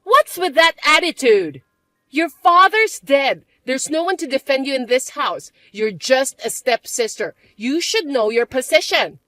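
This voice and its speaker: A woman voicing a spoiled young stepsister who taunts and bosses in a shrill, mocking way. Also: animated, measured pace, pushed and bright, no room sound, exaggerated voice. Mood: taunting.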